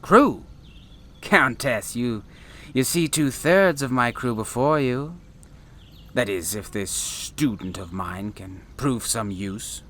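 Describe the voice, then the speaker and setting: A man's voice: mid-range voice, animated, slow pace, a bit bright. Eccentric traveling professor played by a man for sci-fi audio drama: theatrical, exclamatory, swooping delivery, slight background noise. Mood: flustered, modest. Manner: theatrical, exclamatory, wordy and formal. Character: eccentric male space traveler and professor, insistent, flustered, grandiose.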